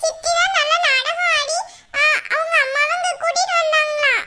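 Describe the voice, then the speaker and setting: A woman's voice: extremely high pitch, fairly even intonation, normal speed, sharp tone. A woman voicing a chatty little girl who eagerly tattles to her grandmother. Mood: tattling.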